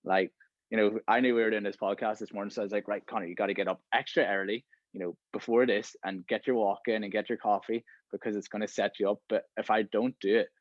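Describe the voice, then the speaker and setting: A man's voice: lowish voice, fairly even intonation, fast talker, dry recording, lo-fi sound. A man in his thirties hosting a podcast in casual conversation, filling his talk with "right?" and "you know". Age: 30s. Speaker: podcast host.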